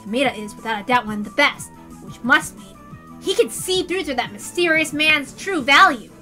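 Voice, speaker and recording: A woman's voice: sits high, animated, moderate pace, bright, forceful tone, soft background music, exaggerated voice. A woman voicing astonished, overdramatic male sports reporters in a story reading, with pompous, breathless hype. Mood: solemn musing.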